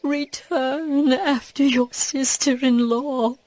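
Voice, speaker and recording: A woman playing a grieving, bitter but tender older widow in a dramatized audio Bible, pleading in a deliberate way. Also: sits high, steady tone, darkish tone. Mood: pleading, anguished. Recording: background music under the voice.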